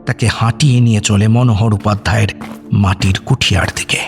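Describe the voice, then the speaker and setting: A man narrates a horror suspense audio story in a measured, dramatic way with deliberate pauses. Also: lowish voice, fairly even intonation, vocal fry. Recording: music under the voice. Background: background music.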